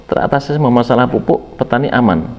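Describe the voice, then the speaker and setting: A man's voice: mid-range voice, expressive, fast, darkish tone, long reverb tail. A man commenting on a video clip in a rambling way, with many fillers and repeated words of agreement. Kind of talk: reaction commentary.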